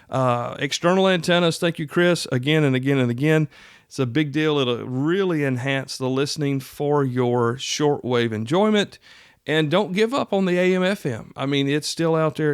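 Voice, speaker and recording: A man's voice: medium pitch, clear, resonant tone, no echo, studio quality. An American man hosting a podcast solo, talking casually in a folksy, conversational way straight to his listeners. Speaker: podcast host.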